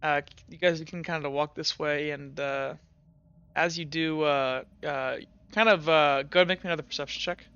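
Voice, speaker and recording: Rambling tabletop game master, bright tone, dry and slightly dull recording. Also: medium pitch, steady tone, normal speed, clean tone. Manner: rambling, many fillers and hedges.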